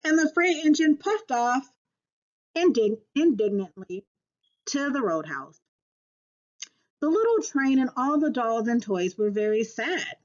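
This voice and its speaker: Lady teacher reading to kids, slow and animated, kinda muffled lo-fi audio. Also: sits high. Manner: addresses young listeners, prompting them. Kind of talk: expressive children's storybook read-aloud.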